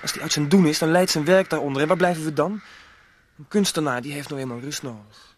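A man's voice: on the high side, fast talker, close to an everyday voice. An actor playing an evasive young village man in a radio play, hesitant and defensive as he stalls.

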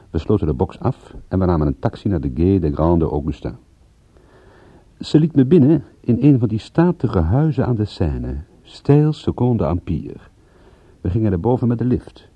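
A man playing a wry, pensive private detective, narrating his story in a measured, musing way in a radio play. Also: fairly low pitch, slightly rough, no echo, highs cut off.

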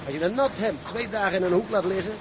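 Seasoned seaman, fairly high voice played by a man. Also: fast, natural voice. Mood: resigned. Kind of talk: audio drama acting. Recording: clearly noisy audio track.

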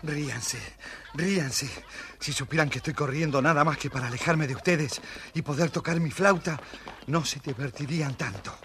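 Un actor que interpreta a un joven pastor alegre y astuto, juguetón y burlón, en un cuento infantil. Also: male voice, fairly high pitch, moderate pace, fairly bright tone, slight background noise, close to an everyday voice. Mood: mocking. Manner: playful, teasing. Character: cheerful, crafty young shepherd lad. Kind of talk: children's audio story acting.

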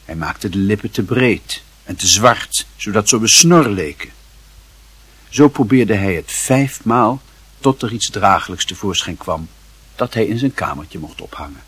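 Husky low-voiced male storyteller. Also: expressive, unhurried, fairly bright tone, exaggerated voice. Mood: measured, wry. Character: wry literary storyteller of a boy's life. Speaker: narrator. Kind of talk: literary radio play narration.

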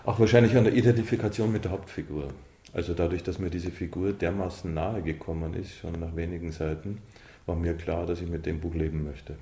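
A man in his fifties speaks in a low, mellow voice. He explains his views reflectively and with emphasis, as an author in an interview. The room adds noticeable echo. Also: steady tone, brisk. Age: 50s. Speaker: author and director being interviewed. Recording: roomy, reverberant interview sound.

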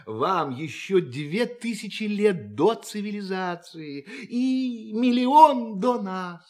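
An actor playing a playful, condescending alien visitor in a radio play, with mock courtesy and a theatrical manner. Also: male voice, sits high, animated, slow pace, darkish tone. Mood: smug, mocking. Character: playful, condescending alien visitor who relishes human violence. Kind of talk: Russian radio play acting.

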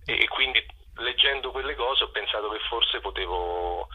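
A man's voice: medium pitch, moderate pace, slightly rough. A man being interviewed on radio over a phone line, talking reflectively and hesitantly as he thinks aloud. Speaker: poet and singer-songwriter guest.

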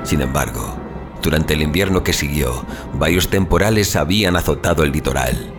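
Deep-voiced man narrating dramatically. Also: lively intonation, normal speed, loud music behind the voice. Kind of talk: dramatic scripted story narration.

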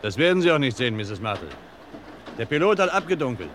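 An actor playing a steady, reassuring undercover police guard in a radio crime drama, assured in manner. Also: male voice, medium pitch, fast talker. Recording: clear outdoor vehicle noise behind.

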